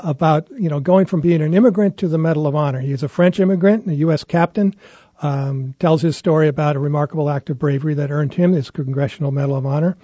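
A man's voice: a little high, mellow, breathy edge, no echo. A man hosting a radio interview, talking conversationally with small restarts. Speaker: radio host. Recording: muffled lo-fi broadcast audio.

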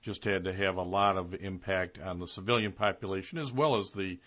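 Halting male interviewer, dull lo-fi audio. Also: low-pitched, fairly bright tone. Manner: halting, many uhs and restarts. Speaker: interviewer host. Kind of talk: interview questions.

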